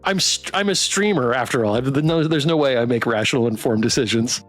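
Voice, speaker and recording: A man's voice: medium pitch, fairly quick. A man streaming a game playthrough, chatting casually, reading narration and thinking aloud. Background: music.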